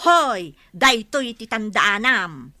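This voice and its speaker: A woman with an Ilocano accent playing a jealous, hurt village wife who is quick to scold, in a comedy radio drama. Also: on the high side, sing-song, sharp tone, no room sound, studio quality, full character voice. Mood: angry, scolding.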